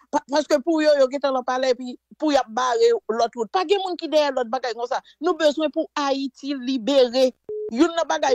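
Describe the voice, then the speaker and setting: A Haitian woman talks in a high, bright register with fervent, emphatic delivery, pressing her points hard. Her voice sounds close and dry, with no room around it. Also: no room sound. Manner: fervent, emphatic, mixes French with Creole and English phrases. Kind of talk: impassioned political discussion.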